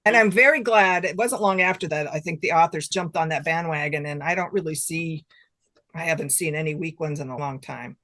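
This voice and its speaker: A woman's voice: low voice, fairly quick, fairly bright tone. An older woman chatting casually and informally as a guest author in an interview, with a giggly streak. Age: late 50s to 60s.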